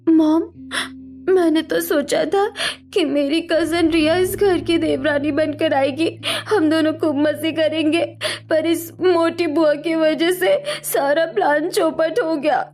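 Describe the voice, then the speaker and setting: Woman playing a high-pitched spiteful young bahu. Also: fairly even intonation, takes it slowly, darkish tone, heavily acted. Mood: sulky, scheming. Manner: whiny, sarcastic, mixes in English words. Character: spiteful, snobbish young daughter-in-law.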